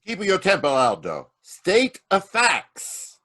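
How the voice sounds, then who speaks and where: A man plays a stern Italian elder sitting as judge in a radio play. He speaks slowly and commandingly in a highish, strongly modulated voice with an Italian accent. The sound is dry. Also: wildly swooping pitch, pushed and bright, dry recording, full character voice. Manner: deliberate, commanding. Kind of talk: old-time radio drama acting.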